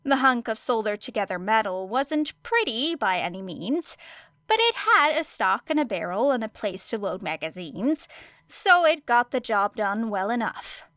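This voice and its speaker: A woman's voice: a little high, sing-song, moderate pace, a bit bright, no echo, clean studio sound. A woman reading scripted fiction as an audiobook narrator.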